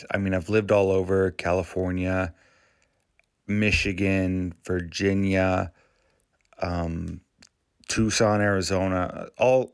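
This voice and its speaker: A man from Maine hosting his channel, talking casually in a halting, rambling way with frequent restarts. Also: sits low, gravelly, clear, resonant tone, no echo, clean studio sound. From Maine, USA. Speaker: YouTube channel host. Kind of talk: casual vlog commentary.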